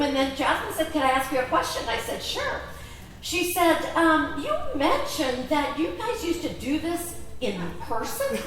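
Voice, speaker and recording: A woman's voice: high-pitched, a bit bright, slight background noise. A woman originally from Greece, giving a chatty talk to a convention audience and often asking them questions. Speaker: convention speaker. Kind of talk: presentation to an audience. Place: large convention hall. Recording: echoey hall, voice from far off.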